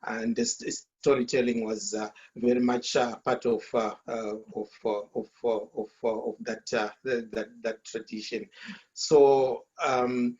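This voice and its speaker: A man from Zimbabwe whose first language is Shona, talking slowly in English on a video call, with many fillers and repeated words. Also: medium pitch, little pitch movement, a little mellow, muffled recording. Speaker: author. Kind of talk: speaking at an online launch.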